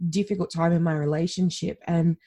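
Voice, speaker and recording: Woman, Australian accent, warm low voice, chatty, candid, laughs easily. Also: steady tone, brisk. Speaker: podcast guest. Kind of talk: conversational storytelling.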